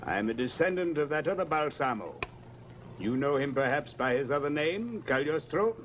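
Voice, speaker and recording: A sinister, grandiose mesmerist with pompous, formal diction from old radio horror. His slightly high voice swings widely in pitch over a faintly noisy recording. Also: expressive, moderate pace, fairly bright tone. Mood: menacing. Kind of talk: old-time radio horror drama acting.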